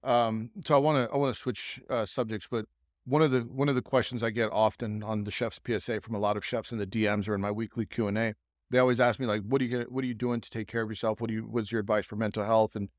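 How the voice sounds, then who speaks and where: A man's voice: fairly low pitch, little pitch movement, quick pace, dry recording, clean studio sound. A man hosting a podcast interview in a casual, informal way, feeling out his questions as he goes. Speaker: podcast host interviewing a chef.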